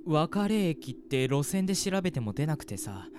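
A man's voice: on the high side, very animated, normal speed, background noise. A man playing an earnest, mild young friend who also narrates an amateur radio drama. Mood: concerned.

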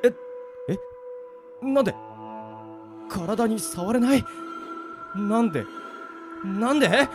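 A man voicing a bewildered young office worker who finds no one can see him, talking in rough casual speech, in an amateur radio drama. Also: high voice, expressive, takes it slowly, pauses often, over music, heavily acted. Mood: panicked.

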